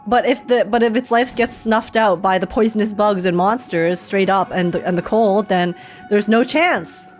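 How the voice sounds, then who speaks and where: A woman streamer giving casual, slangy live reaction commentary on a game, thinking aloud in an animated way. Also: mid-range voice, moderate pace, soft background music.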